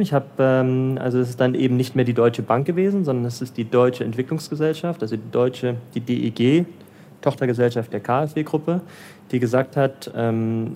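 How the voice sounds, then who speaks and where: A man's voice: mid-range voice, warm tone, a little hiss or hum. A man from Germany, raised in Bavaria, talks through his career in an easygoing interview. He hesitates often and restarts sentences, filling gaps as he thinks aloud. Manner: hesitant, many fillers and restarts. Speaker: interviewee, development finance professional in Lagos. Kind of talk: casual interview answers.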